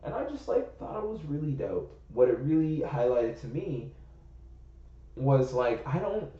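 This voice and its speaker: Rambling man thinking out loud, roomy sound. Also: medium pitch, very animated, unhurried. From United States. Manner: rambling, thinking aloud, heavy on "like". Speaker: podcast co-host. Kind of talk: casual chat. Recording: roomy, slightly muffled recording.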